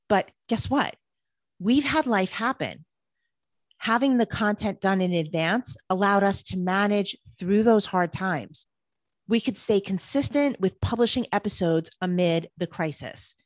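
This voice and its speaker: A woman's voice: medium pitch, dry recording. A woman co-hosting a podcast who reads scripted points in an easy, upbeat way. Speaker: podcast co-host.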